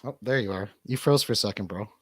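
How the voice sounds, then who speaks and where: A man's voice: on the low side, quick pace, a bit bright, slightly rough, dry recording. A man co-hosting a livestream in casual banter, with a rambling, slangy, jokey way of talking. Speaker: livestream co-host.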